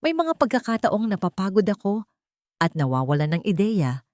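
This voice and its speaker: A woman's voice: medium pitch, big pitch swings, moderate pace, a little mellow, no room sound, studio quality. A woman with a Filipino accent narrating a scripted, dramatic first-person story in the manner of an audiobook.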